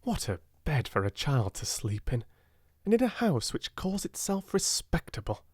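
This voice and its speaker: Man reading as a curious young English secretary, pitched up. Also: sing-song, moderate pace, darkish tone, husky, no echo, studio quality. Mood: indignant. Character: young male secretary, brisk, curious, indignant English gentleman.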